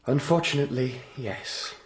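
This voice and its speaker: A man's voice: medium pitch, normal speed, close to an everyday voice. Man as a cold young schemer, echoey room. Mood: coldly controlling. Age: young adult. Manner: smooth, deferential, quietly menacing. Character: cold, scheming young male courtier, quietly menacing. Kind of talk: radio drama acting. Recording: roomy echo, clear background noise.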